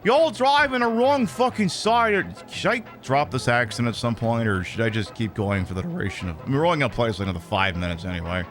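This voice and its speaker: Casting: male streamer playing a cheeky, foul-mouthed British bloke for rambling game commentary, exasperated, very animated delivery over music. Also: medium pitch, brisk, hardly any pauses, voice pushed down, lightly acted. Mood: mock-exasperated. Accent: put-on British. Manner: sweary, rambling, mock-indignant. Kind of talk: improvised live gaming commentary. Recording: music behind the voice.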